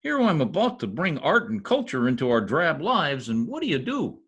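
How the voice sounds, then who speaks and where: An actor playing a cocky, bumbling husband with folksy slang, in old-time radio comedy style. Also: male voice, fairly high pitch, wildly swooping pitch, normal speed, a bit bright, exaggerated voice. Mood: determined bluster. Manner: folksy slangy speech, blustering. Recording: husky-sounding video call recording with music.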